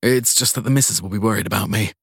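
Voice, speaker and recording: A man's voice: lowish voice, fast talker, breathy edge, no room sound, studio quality. A man voicing a weary, guarded courier in a crime audiobook, answering briefly and hesitantly to placate authority. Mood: nervous, placating. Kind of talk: audiobook crime story character voice.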